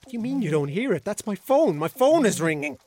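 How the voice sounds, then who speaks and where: A woman playing a blunt, sweary, hungover young character in a radio drama, halting and exasperated as nerves take over. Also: medium pitch, very animated, warm tone. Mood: rattled, pleading. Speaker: actor in an audio drama. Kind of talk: naturalistic radio drama acting.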